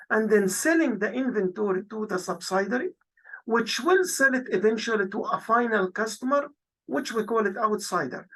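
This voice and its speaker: A woman's voice: medium pitch, poor audio. A woman giving an explanatory lecture as a teacher, walking through examples step by step and repeating points in parallel phrases. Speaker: accounting lecturer.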